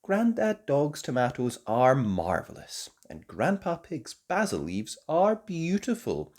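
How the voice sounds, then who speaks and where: A storyteller reading a children's picture book aloud in an expressive way, as host. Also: mid-range voice, slow.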